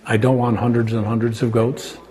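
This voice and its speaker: An older American man explaining his work informally and step by step, in a halting manner. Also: medium pitch, level tone, dark tone. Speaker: farm owner and cheese maker. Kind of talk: informal explanation while demonstrating. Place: indoors in a small farm creamery. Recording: clear background noise, working creamery room.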